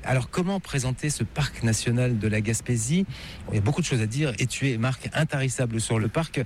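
A man hosting a travel radio program, narrating the route and interviewing a guest in a lively, playful way. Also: mid-range voice, brisk, a little hiss or hum. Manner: lively, playful, guiding the conversation. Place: outdoors in a national park.

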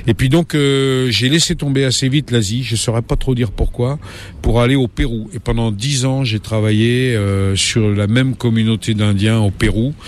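A man in his late sixties, a travel reporter, answering interview questions in a relaxed way, pausing often with fillers and restarting his sentences. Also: medium pitch, slight background noise. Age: 60s. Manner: hesitant, many fillers and restarts.

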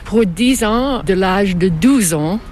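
An older woman from Canada, a native English speaker with an English Canadian accent, answering interview questions in halting second-language speech. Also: medium pitch, expressive, a little mellow, a little hiss or hum. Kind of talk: casual conversational interview.

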